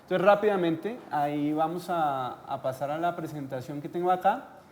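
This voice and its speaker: A man's voice: on the high side, normal speed. A man speaking as a guest at an informal talk, in a discursive, enthusiastic way. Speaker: guest speaker, theatre researcher and publisher. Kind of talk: informal conference presentation.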